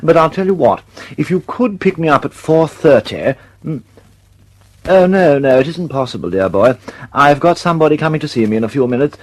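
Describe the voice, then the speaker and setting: A man's voice: fairly high pitch, lively intonation, fairly quick, rough recording. An actor playing a flustered, condescending male government official with an upper-class English accent in a radio drama. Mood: breezy, jovial. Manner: dithering, breezy, condescending. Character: flustered, dismissive, chummy upper-class male British bureaucrat.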